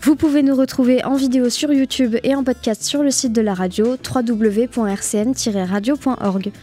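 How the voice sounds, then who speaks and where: A woman's voice: on the high side, steady tone, normal speed, dark tone. A woman hosting a community radio interview show, opening with a polished introduction and asking her guests questions. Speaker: radio host. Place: radio studio.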